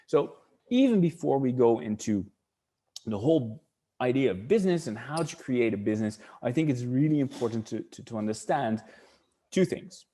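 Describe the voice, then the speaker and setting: A man's voice: mid-range voice, expressive, normal speed, warm tone. A middle-aged man from the Netherlands with a Dutch accent, presenting an online webinar and thinking aloud, often restarting and repeating words.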